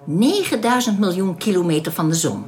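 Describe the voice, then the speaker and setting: A woman's voice: fairly low pitch, animated, brisk, a little mellow, lightly acted. Composed, lilting young alien woman played by an actress. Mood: earnest, explanatory. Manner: polite, composed, explanatory. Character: calm, welcoming young alien woman guiding stranded space travellers. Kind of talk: radio drama acting.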